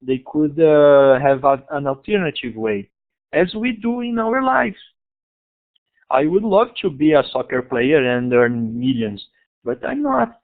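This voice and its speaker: A man from Brazil with a Brazilian Portuguese accent, giving a talk about his school over an online conference in halting English with many restarts. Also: on the high side, expressive. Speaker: guest speaker, school founder. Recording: tinny online call audio.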